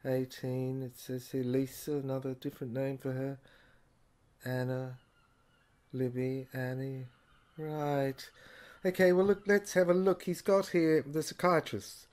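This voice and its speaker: A man's voice: mid-range voice, level tone, a little slow, darkish tone, lightly acted. A man playing an investigator in an audio drama, hesitantly muttering to himself as he puzzles through written notes. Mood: puzzled, musing. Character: musing male investigator puzzling over someone's handwritten notes. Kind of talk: low-key conversational audio drama monologue.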